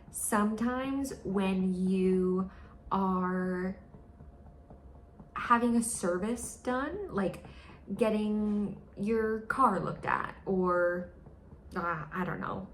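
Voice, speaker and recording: A young American woman chatting casually in a vlog, full of fillers and playful quoted voices. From United States. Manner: chatty, with many fillers, mimics others in high voices. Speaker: vlogger. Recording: echoey room, faint noise.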